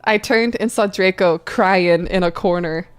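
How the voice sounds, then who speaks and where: A woman's voice: fairly low pitch, unhurried, clean tone. A woman reading a story aloud comedically, with casual banter as a co-reader on a live stream.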